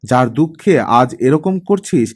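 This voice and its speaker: Male reader as middle-aged mother, worried, scolding, cold and probing, higher pitch, swooping intonation, audiobook drama. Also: expressive, measured pace. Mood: cold, reproachful.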